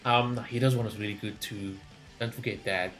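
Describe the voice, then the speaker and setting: A man's voice: fairly low pitch, steady tone, brisk, fairly bright tone, faint music behind. A man giving casual, opinionated gaming reaction commentary, talking in a rambling, enthusiastic way as a video host.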